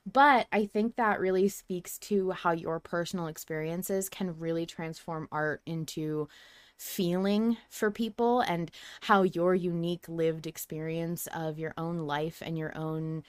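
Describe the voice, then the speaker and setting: A woman's voice: lowish voice, steady tone, unhurried, clear, resonant tone, dry recording. A woman hosting a podcast in casual discussion, chatty and candid, with some casual swearing. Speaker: podcast host.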